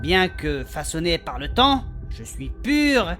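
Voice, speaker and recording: A man's voice: on the high side, big pitch swings, takes it slowly, a bit bright, soft background music, heavily acted. A man playing a crude, impatient, easily panicked adventurer who swears and hesitates in a comedic fantasy audio drama. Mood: wary, irritated.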